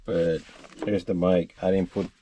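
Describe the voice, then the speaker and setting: A man giving a casual, unscripted how-to for a vlog, rambling and thinking out loud as he works. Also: low-pitched, level tone, a little slow, slight background noise. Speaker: vlogger showing a DIY truck installation.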